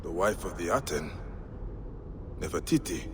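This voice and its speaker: A man's voice: sits low, lively intonation, normal speed, noisy background. A man voicing a grave, dry-witted warrior in a game cutscene, speaking tersely. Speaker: game protagonist. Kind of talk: video game cutscene acting.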